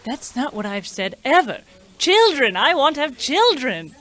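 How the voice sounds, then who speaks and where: A woman's voice: high-pitched, big pitch swings, a little slow, a bit bright, exaggerated voice. A woman playing an exasperated, skeptical wife with incredulous interjections in an old-time radio comedy. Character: exasperated, skeptical wife named Carol interrupting her husband.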